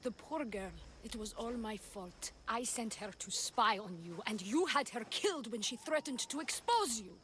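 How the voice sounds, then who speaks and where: A high-voiced, imperious priestess. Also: female voice, expressive, moderate pace, hardly any pauses, fairly bright tone, a little hiss or hum. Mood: grieving. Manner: formal, commanding, theatrical. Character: proud, imperious ancient Greek high priestess, fiercely dramatic. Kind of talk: video game cutscene acting.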